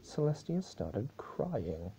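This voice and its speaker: Casting: male amateur narrator, very dark tone, lively intonation, dramatic story reading with small stumbles. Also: mid-range voice, takes it slowly, a little hiss or hum, poor audio. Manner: stumbles over words while reading. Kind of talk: dramatic reading of fan fiction.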